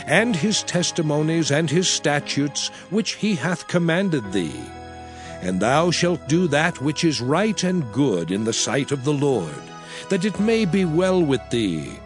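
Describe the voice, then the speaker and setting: Slow, grave male scripture reader. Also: mid-range voice. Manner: slow, grave, emphatic declamation. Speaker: narrator. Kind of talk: dramatized King James scripture reading.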